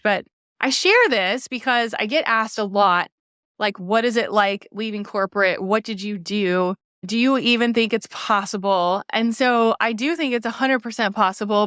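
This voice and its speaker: A woman's voice: a little high, fairly bright tone, clear, resonant tone, no room sound, clean studio sound. A woman in her thirties hosting a solo podcast, talking casually and encouragingly, often saying "like" and "right?" and asking her listeners questions. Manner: conversational, frequent "like" and "right?". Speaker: podcast host. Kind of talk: reflective solo podcast monologue.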